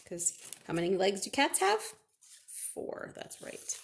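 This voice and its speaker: A woman's voice: medium pitch, sing-song, measured pace, creaky. A woman chatting through a step-by-step craft for children, asking questions and answering them herself. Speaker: children's librarian leading a craft.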